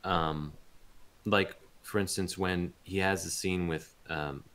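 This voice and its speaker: Deep-voiced, halting man in interview. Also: fairly even intonation, a bit bright. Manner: halting, thoughtful, searching for words. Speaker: voice actor being interviewed. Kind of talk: casual interview chat.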